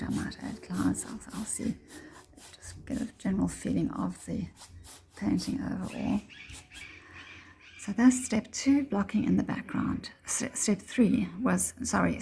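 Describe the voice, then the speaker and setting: A woman with a slightly croaky voice giving a casual step-by-step painting tutorial, thinking aloud in a halting way. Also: mid-range voice, warm tone. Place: indoors, home painting room.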